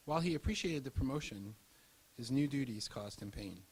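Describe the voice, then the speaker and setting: A man narrating a scripted historical account in a formal, measured manner. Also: medium pitch, lively intonation, darkish tone, slightly rough, rough recording.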